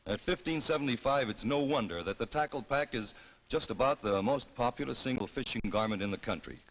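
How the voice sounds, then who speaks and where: A man's voice: mid-range voice, brisk, slight background noise. American male announcer, muffled vintage broadcast. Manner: demonstrating products to viewers. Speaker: commercial announcer. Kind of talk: 1950s television sales pitch.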